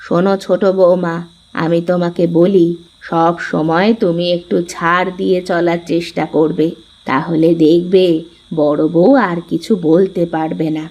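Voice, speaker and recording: A woman voicing a gentle, patient old mother-in-law in a cartoon story, soothing and advising. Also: lowish voice, little pitch movement, measured pace. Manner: soothing, advising, affectionate address.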